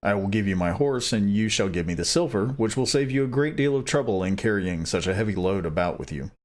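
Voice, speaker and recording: A smooth-talking horseman, read by a man. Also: on the low side, expressive, fairly quick, darkish tone, natural voice. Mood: persuasive.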